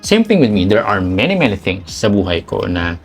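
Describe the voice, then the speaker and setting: Filipino guy co-hosting a podcast, deep voice, reflective taglish chat, faint music under it. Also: sing-song, fairly quick. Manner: mixes English with Tagalog. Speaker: podcast co-host. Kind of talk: casual reflective talk with faith-based advice.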